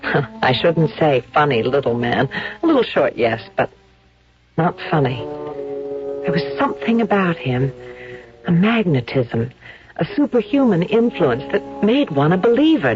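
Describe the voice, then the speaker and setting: An actress playing a proud, sardonic society princess in a radio drama, with a cool, dry manner. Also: female voice, lowish voice. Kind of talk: radio drama acting and first-person narration. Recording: muffled radio broadcast recording.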